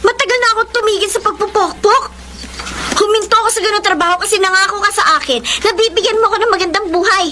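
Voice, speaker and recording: A woman's voice: extremely high pitch, quick pace, slight background noise. A woman playing an abused wife in a Filipino radio drama, plaintive and emotional, pleading yet defiant. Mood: anguished, defiant. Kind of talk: Filipino radio drama acting with letter narration. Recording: loud background music.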